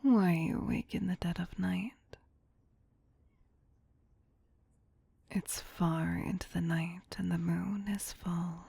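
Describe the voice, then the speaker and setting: A woman playing a possessive, teasing, soothing vampire queen in a whispered ASMR roleplay, breathy, hushed and doting yet domineering. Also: lowish voice, steady tone, a little slow, no echo, full character voice. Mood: teasing concern.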